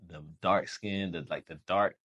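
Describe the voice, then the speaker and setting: A young man from Haiti, a Creole speaker, telling his story as a podcast guest in relaxed slangy chat. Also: low voice, measured pace, lo-fi sound. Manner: relaxed storytelling, slangy, says bro often. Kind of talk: casual chat.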